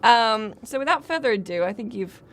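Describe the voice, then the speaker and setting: A woman's voice: on the high side, animated, a little fast, a bit bright. A woman hosting a game livestream in casual chat, with a wry, teasing way of talking. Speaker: livestream host and game player. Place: live stream gaming setup.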